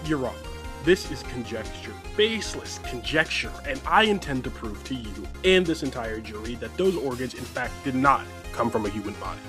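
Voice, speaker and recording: A man's voice: mid-range voice, big pitch swings, normal speed, music in the background, natural voice. A man voicing a smug, unapologetic scientist with a pedantic manner in a courtroom parody skit. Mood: smug, nonchalant. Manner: nonchalant, pedantic.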